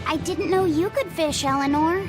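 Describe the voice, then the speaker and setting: Shy, high-voiced little boy. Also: normal speed. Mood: curious. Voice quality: youthful, childlike. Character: shy, earnest, polite young boy. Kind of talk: dubbed video game cutscene acting. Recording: music behind the voice.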